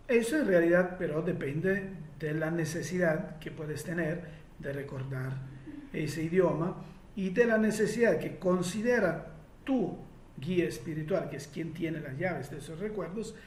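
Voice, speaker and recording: A man's voice: on the high side, a little mellow, reverberant, slight background noise. A man from Italy speaking Spanish with an Italian accent, answering listener questions in a reflective way with frequent fillers and restarts.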